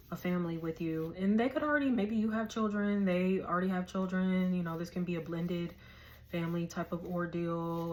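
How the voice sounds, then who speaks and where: Hoarse, chatty woman reading tarot. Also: lowish voice, darkish tone, a little hiss or hum. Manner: chatty, loose, slangy, thinks aloud. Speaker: tarot reader.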